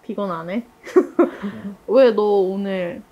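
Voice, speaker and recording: A woman leading a casual group discussion, chatty and telling anecdotes in an animated way. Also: medium pitch, extremely slow, lo-fi sound. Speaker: interviewer and project member leading the discussion.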